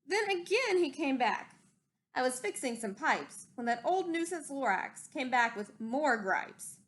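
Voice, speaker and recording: A woman reading a rhyming storybook aloud expressively, with deliberate dramatic emphasis. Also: high voice, big pitch swings.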